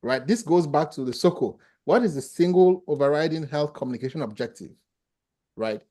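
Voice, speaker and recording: Man, Nigerian accent, professor, online webinar, patient explainer, mellow tone. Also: fairly high pitch, animated, brisk. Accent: Nigerian, with a slight Ghanaian tinge. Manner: explanatory, plain-spoken, answers questions patiently. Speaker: professor teaching a webinar class. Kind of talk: online class lecture and Q&A. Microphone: video call.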